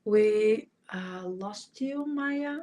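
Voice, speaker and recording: A woman host speaks slowly, in a middle-pitched voice, over a video call. She sounds earnest and keeps searching for her words as she talks. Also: dark tone. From Portugal. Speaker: podcast host. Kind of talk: live podcast conversation.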